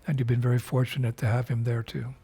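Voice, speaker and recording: Older man, husky voice, mid pitch, spiritual teacher, video message, unhurried and reflective, many fillers. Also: completely flat delivery, warm tone.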